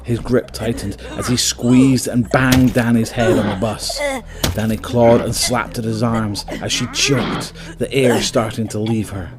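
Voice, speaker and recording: A man's voice: medium pitch, steady tone, a little slow, crowd noise. A man narrating a scripted dramatic story as the narrator of an audio drama.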